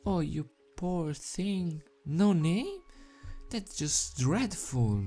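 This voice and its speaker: A gentle, concerned female cartoon pegasus , put on by a man, speaks in a raised pitch. The delivery is slow and full of pity, as in a storybook, over faint music. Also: sing-song, heavily acted.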